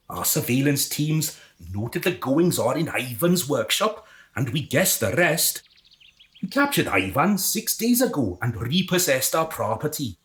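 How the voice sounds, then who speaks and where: An actor as a pompous, swooping alien in human guise. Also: mid-range voice. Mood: indignant outrage. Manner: pompous, exasperated, condescending. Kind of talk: comic radio drama acting.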